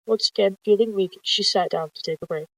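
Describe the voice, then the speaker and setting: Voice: mid-range voice, quick pace, creaky, no echo, rough recording. Storyteller narrating a roleplay in a dry close recording. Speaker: narrator of an online warrior cats roleplay video. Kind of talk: reading out text roleplay, narration and character dialogue.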